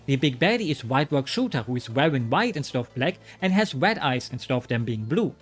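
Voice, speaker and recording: A man's voice: mid-range voice, sing-song, brisk, husky, faint music behind. A man narrating a scripted game review with wry, joking asides. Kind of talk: scripted commentary.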